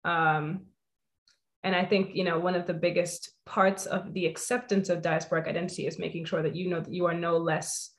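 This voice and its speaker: Earnest, reflective lower-voiced woman. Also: flat delivery, moderate pace. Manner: thoughtful, earnest, many "you know"s. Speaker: host. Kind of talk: reflective conversation.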